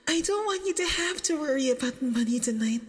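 A woman playing a nervous, clingy, obsessive young femboy boyfriend on a date in an ASMR roleplay, halting and doting, with doubled, reverberant audio. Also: high voice, lively intonation. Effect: doubled audio with added reverb. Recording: long reverb, doubled voice track.

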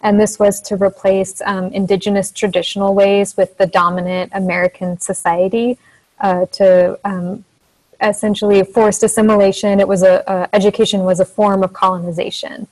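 A soft-spoken young woman, a teacher, giving an informal educational presentation over a video call. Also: medium pitch, level tone, normal speed, low-quality recording. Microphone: earphone mic on a video call.

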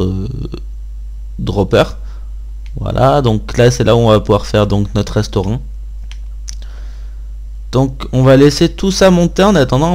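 A man's voice: mid-range voice, darkish tone. A man hosting a gaming video, giving casual gameplay commentary and thinking aloud in an informal way.

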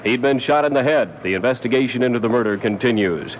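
A man's voice: mid-range voice, quick pace. American male radio news anchor, 1970 style, formal delivery; dull, faintly noisy air check sound. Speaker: radio newscaster.

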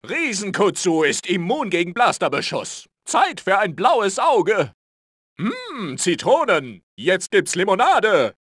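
An actor playing a vain, bragging cartoon space superhero in a video game, pompous and theatrical, with bumbling bravado. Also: male voice, sits high, fairly bright tone, no room sound, studio quality, heavily acted. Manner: pompous, boastful, stammering when caught out.

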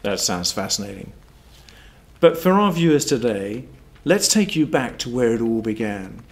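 A man's voice: medium pitch, sing-song, normal speed. A middle-aged man putting formal, prepared questions as an interviewer. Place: wood-panelled room, indoors.

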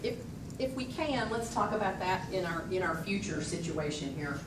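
A woman moderating a crowded community meeting, taking questions from the floor in a brisk, informal way. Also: on the low side, animated, very noisy. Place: large community meeting room, indoors. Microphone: phone camera in the audience. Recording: echoey hall, distant phone mic.

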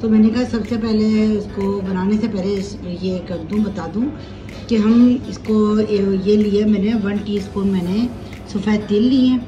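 A woman's voice: mid-range voice, fairly even intonation, normal speed, muffled recording. Chatty woman hosting a home cooking video. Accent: Pakistani Urdu. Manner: chatty, homely, explains each step as she goes. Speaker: cooking channel host. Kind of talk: casual cooking demonstration voice-over. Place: home kitchen. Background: background music.

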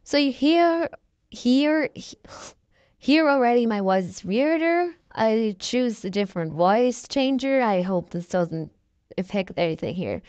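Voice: medium pitch, expressive, a little slow, a little mellow, clean tone, no echo, lo-fi sound. A young-sounding voice run through a voice changer, chatting casually in a rambling vlog. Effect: voice changer filter. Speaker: content creator.

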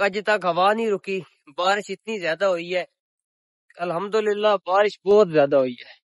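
A young man with a Punjabi accent talks straight to his viewers while walking through open countryside, holding his phone at arm's length. His voice sits fairly high for a man, and the recording is close and dry, with little room sound. He keeps an easy, chatty manner throughout. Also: fairly bright tone, dry recording. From Pakistan. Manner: chatty, addresses viewers as public. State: recovering sore throat. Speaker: village vlogger. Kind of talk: casual selfie vlog. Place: open countryside outdoors on a cloudy, windy day. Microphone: phone held at arm's length.

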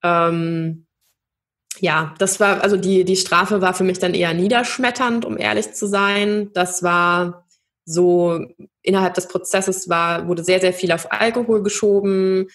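A young woman from Germany telling her own story in an interview, thoughtful, with many pauses and fillers. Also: lowish voice, steady tone, fairly bright tone. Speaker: podcast guest. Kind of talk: personal interview.

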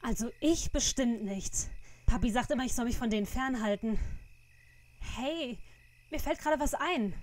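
Woman as a high-voiced cheeky teenage girl sleuth. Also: moderate pace, darkish tone, natural voice. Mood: chatty, coy. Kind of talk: audio drama parody acting.

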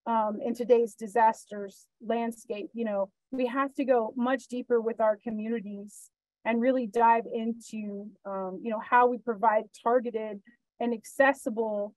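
A woman's voice: fairly high pitch, fairly even intonation, measured pace, darkish tone, no echo, low-quality recording. A woman speaking as an emergency management panelist on a video call, earnest, with many "you know" fillers. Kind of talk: panel discussion.

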